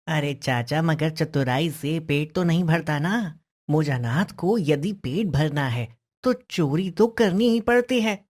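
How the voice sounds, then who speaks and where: महिला की आवाज़ में धीमी लय वाला चतुर लड़का. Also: female voice, sits low, animated, slow pace, a little mellow, full character voice. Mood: frank, impressed. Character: clever, cheeky village orphan boy. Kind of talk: Hindi cartoon story acting.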